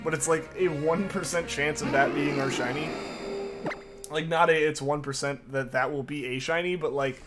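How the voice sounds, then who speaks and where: A young man with a slightly higher voice rambles casually to his live-stream chat, heavy with fillers and repeats. He has a slight lingering cough, and music plays clearly underneath him. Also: steady tone, moderate pace. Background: music playing underneath.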